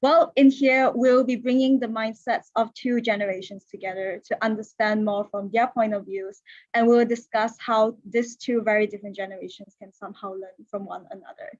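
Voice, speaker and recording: A woman's voice: fairly high pitch, fairly even intonation, moderate pace, dry recording, dull audio. A woman of twenty-one hosting an online panel, chatty, with many fillers and restarts. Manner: chatty, heavy use of "like" and restarts. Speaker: panel moderator. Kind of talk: casual online workshop hosting. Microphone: video call.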